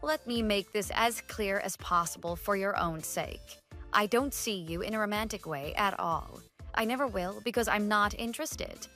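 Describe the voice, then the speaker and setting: A woman acts out a fed-up young office worker in an online radio drama. She is blunt and scornful, with dry sarcasm and an average-pitched voice over background music. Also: normal speed, pushed and bright, close to an everyday voice.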